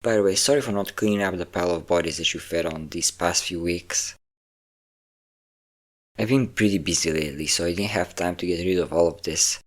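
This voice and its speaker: A man's voice: sits low, fairly quick, natural voice. A man playing a centuries-old, possessive and doting vampire captor in an intimate audio roleplay, coaxing and teasing his listener.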